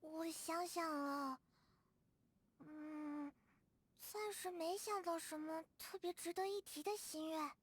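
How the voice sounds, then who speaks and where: A woman's voice: very high-pitched, fairly even intonation, slow, dry recording. A woman voicing a sweet, shy, grateful young girl adventurer in a video game, with a polite and earnest manner. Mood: content, happy. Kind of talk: video game character voice acting.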